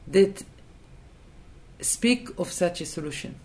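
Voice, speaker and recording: A woman's voice: lowish voice, animated, takes it slowly, a little mellow. A woman from Israel, answering in a thoughtful interview and hesitating and restarting as she looks for words. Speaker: interview guest.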